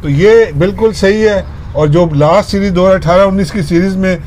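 Man, Pakistani accent, high-pitched, cricket pundit, casual opinions, English phrases, slight outdoor noise. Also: a little fast, a little mellow. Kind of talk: casual chat, storytelling and opinion. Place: outdoors at a table in a park. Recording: faint outdoor background noise.

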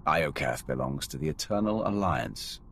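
Gravelly, very deep menacing Sith warlord. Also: male voice, lively intonation, a bit bright, slight background noise, heavily acted. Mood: cold threat. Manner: imperious, deliberate, threatening. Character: menacing Sith warrior commander, brutish alien man, arrogant and cold. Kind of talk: video game cutscene acting.

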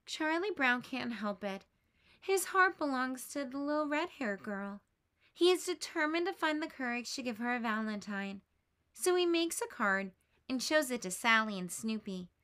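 A woman teacher reading a children's picture book aloud, expressive and lifting her voice for the characters' lines. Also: moderate pace. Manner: expressive, lifts pitch for quoted lines.

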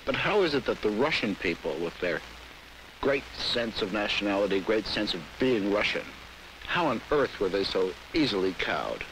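A man's voice: lowish voice, animated, moderate pace. A man asking probing, formal questions as interviewer in a studio, recorded on old film. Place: television studio. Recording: 1960s film with faint hiss.

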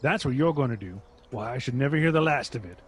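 A man playing a stubborn, gruff older husband who blusters and dismisses, in a radio drama. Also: medium pitch, expressive, fast. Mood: irritable.

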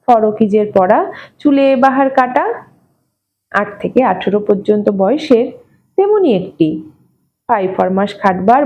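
A woman narrating a literary short story expressively, in a measured, wry manner, as an audio story reader. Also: on the high side. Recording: slightly dull, muffled audio.

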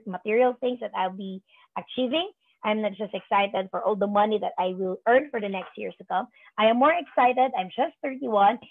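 A woman's voice: medium pitch, creaky, no room sound. A woman in her thirties, a business coach, giving a rousing, repetitive motivational speech on a video call. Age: 30s. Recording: tinny call audio.

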